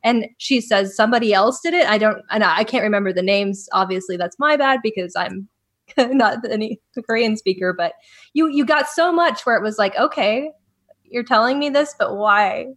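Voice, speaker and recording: A woman chatting casually about a drama, animated and laughing often. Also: a little high, moderate pace. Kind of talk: casual drama recap chat.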